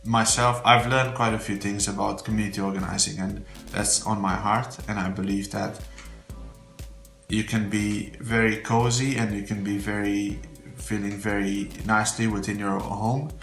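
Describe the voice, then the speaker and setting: Low-voiced man, earnest. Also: flat delivery, moderate pace, vocal fry, a bit bright. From Romania. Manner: heartfelt, faith-filled, slightly non-native phrasing. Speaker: church member giving a testimonial. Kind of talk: earnest unscripted testimonial. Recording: roomy echo with faint background music.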